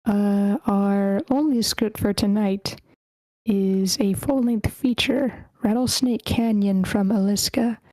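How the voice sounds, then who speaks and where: A woman's voice: mid-range voice, darkish tone, dry recording, low-quality recording. A woman hosting an online table read, casually announcing and organizing things. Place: online voice meeting.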